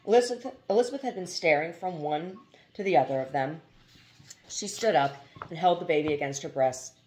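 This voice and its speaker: A woman's voice: deep voice, brisk, darkish tone, a little hiss or hum. A woman narrating a novel as an audiobook reader, in a literary storytelling style.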